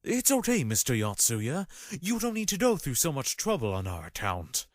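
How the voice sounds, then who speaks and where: Man as a very expressive, formal father. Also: mid-range voice, normal speed, no echo, studio quality. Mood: courteous. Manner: formal, placating. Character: courteous, fussy middle-aged father of daughters. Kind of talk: fan audio drama acting.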